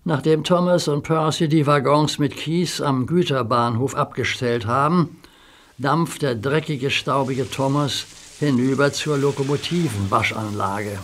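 A man narrating a children's audio drama in a calm, vivid storytelling manner. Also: mid-range voice, measured pace.

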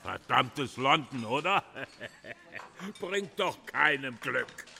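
Blustery middle-aged father, radio drama, swooping pitch, slow declaiming delivery, very forceful edge. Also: lively intonation, vocal fry, full character voice. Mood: jovial, blustery. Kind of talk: German radio drama acting.

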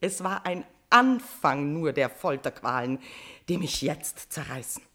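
A woman's voice: low-pitched, very animated, a little slow, bright, forceful tone, full character voice. An actress playing a passionate queen tormented by guilty love, declaiming dramatically in a classical verse radio tragedy. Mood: anguished, jealous.

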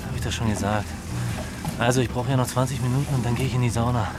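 A man's voice: mid-range voice, fairly even intonation, fast talker, over loud music, natural voice. An actor in a radio drama playing a vain, patronizing businessman with a smug, condescending manner. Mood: smug, dismissive. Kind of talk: naturalistic radio drama acting.

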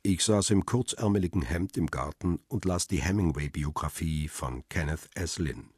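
An actor playing a man who tells his own crime story in a measured, subdued way, husky and weary, as in a radio thriller. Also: deep voice, darkish tone, no room sound, clean studio sound. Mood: wistful, calm. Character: weary, lovelorn male paparazzo narrating his thriller story. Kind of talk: radio drama first-person narration.

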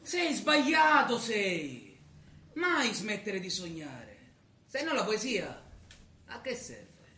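An actor plays a tender young lover of poetry in a stage play. His voice is bright and very varied in pitch, moving between declamation and gentle hesitance in an echoey theatre. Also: very animated, slow, a little hiss or hum, exaggerated voice. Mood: tentative, tender. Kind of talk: live stage play acting.

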